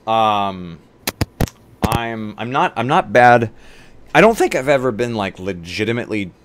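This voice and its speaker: A man streamer chatting casually on a livestream in a halting way, often restarting his sentences. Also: fairly low pitch, very animated, noisy background.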